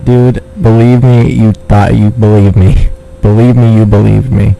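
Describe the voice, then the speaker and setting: Low, dark-voiced crude male prank caller. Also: level tone, unhurried, vocal fry. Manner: crude, taunting, swearing. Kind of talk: prank phone call. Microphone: phone call. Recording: noisy phone call with low rumble.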